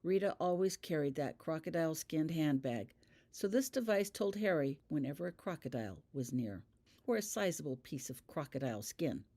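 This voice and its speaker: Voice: medium pitch, lively intonation, normal speed, darkish tone. An author reading their own fan fiction as scripted audiobook narration.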